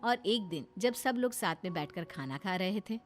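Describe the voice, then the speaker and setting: A woman's voice: mid-range voice, lively intonation, moderate pace, soft background music. A woman narrating a scripted cartoon story as a storyteller.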